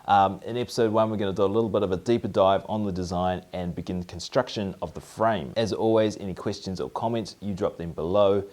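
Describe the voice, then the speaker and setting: A man in his thirties with a New Zealand accent hosts a maker vlog from his garage workshop. He explains things upbeat and clearly while gesturing with his hands. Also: low-pitched, fairly even intonation, brisk, hardly any pauses, a little mellow. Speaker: maker video host. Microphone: camera at mid-distance, framed from the waist up.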